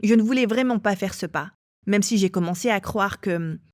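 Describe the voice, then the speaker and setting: A woman telling her own story as an interviewee, in a calm and measured way. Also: mid-range voice, dry recording, studio quality. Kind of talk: personal testimony.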